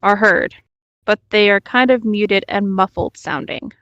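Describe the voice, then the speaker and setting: A woman's voice: mid-range voice, unhurried, a bit bright, no echo, lo-fi sound. A woman co-hosting a read-along, narrating a written story aloud. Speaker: co-host reading stories aloud.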